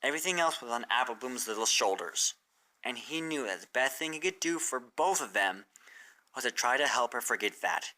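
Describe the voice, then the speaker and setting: An expressive narrator reading a story aloud in storybook style. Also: mid-range voice, fairly quick. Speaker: fan fiction narrator.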